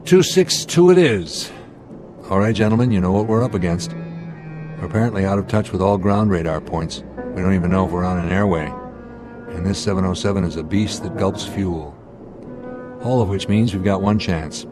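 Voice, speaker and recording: A low-pitched male airline captain, played by an actor in a radio drama, measured and authoritative under pressure. There is a dry, wry edge to his command, and music runs clearly behind him. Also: natural voice. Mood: grave, decisive.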